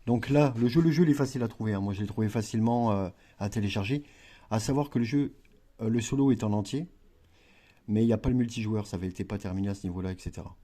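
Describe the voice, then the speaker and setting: A man's voice: fairly low pitch, fast talker, dark tone. A man chatting casually through a gameplay tutorial, rambling with frequent fillers and self-corrections. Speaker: gaming video creator.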